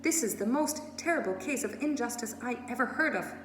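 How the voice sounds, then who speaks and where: Storybook farm girl, woman reader acting, small, fervent and pleading, pitched well up, in an echoey room. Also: expressive, a little fast, faint music behind, natural voice. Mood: pleading, indignant. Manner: pleading, emphatic, emotional. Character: passionate, indignant little farm girl.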